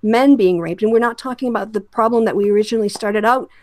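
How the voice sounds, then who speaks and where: A woman's voice: a little high, fast, a little mellow, slight background noise. A woman hosting a discussion show, giving emphatic, critical commentary that sounds like an opinionated rant with direct address.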